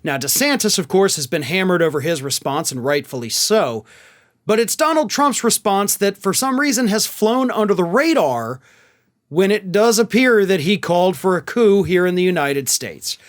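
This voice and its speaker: A man's voice: high-pitched, very animated, normal speed. A man hosting a political commentary show, speaking in an emphatic, opinionated way with a sarcastic edge. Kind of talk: opinionated news commentary to camera.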